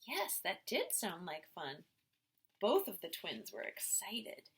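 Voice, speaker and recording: A woman teacher reading a picture book aloud to young children in an expressive storytelling style. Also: mid-range voice, breathy edge. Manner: slow, expressive, addressing children directly.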